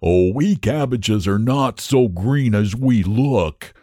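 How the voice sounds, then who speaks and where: A man's voice: fairly low pitch, lively intonation, slow, mellow, dry recording, clean studio sound. A very stout, huffy cabbage from a children's tale, acted by a man, chatters and exclaims breathlessly. The voice swoops up and down with lots of animation. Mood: chatty amusement.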